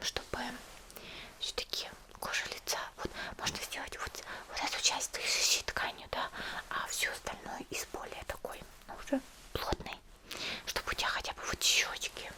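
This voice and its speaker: A woman doing an ASMR roleplay, speaking to the listener in a gentle, caring, unhurried manner. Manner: gentle, caring, unhurried, uses affectionate diminutives. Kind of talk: whispered ASMR roleplay, softly talking to the listener.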